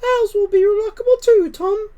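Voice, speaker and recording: A man voicing a prim, gentle but firm mother cat from a storybook, speaking with quiet resolve. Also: extremely high pitch, steady tone, normal speed, pitched above the performer's own voice. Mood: fond.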